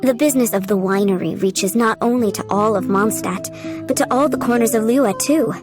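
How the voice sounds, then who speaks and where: A woman voicing a courteous, composed head housemaid in a video game, with polite and measured formal speech. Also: on the high side, over music, lightly acted. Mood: concerned. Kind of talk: video game character acting.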